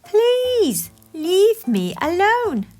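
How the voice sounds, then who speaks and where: A woman's voice: very high-pitched, wildly swooping pitch, drawn-out words, darkish tone, faint music behind, voice pushed up, heavily acted. A woman voicing a grumpy, fed-up elephant big sister who groans and snaps. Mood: exasperated. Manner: groaning, snappy.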